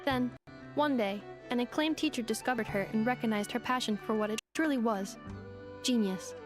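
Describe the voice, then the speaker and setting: Polite, high-voiced robot assistant played by a woman. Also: close to an everyday voice. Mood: explanatory. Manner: measured, formal, explanatory. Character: polite, composed female robot assistant, loyal and earnest. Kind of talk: anime-style video game voice acting. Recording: faint music behind the voice.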